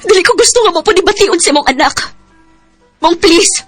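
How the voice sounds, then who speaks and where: An actress voices a young woman who has been lied to by her lover. Her voice is high and bright, sometimes hesitant and sometimes raised in anguish. Soft music plays under her in this radio drama. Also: expressive, brisk, no echo. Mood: resolute. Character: young woman Trisha, hurt lover who finds he is married.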